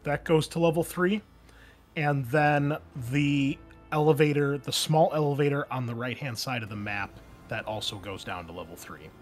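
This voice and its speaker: A man's voice: medium pitch, normal speed, faint music behind. A man narrating a tabletop roleplaying game as game master, conversational and improvising rulings as he goes.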